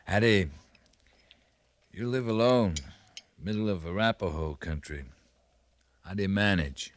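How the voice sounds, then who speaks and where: A man's voice: sits low, measured pace. A man playing a terse, courteous but firm American cavalry captain in a 1950s radio western. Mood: courteous, probing. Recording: vintage 1950s radio recording.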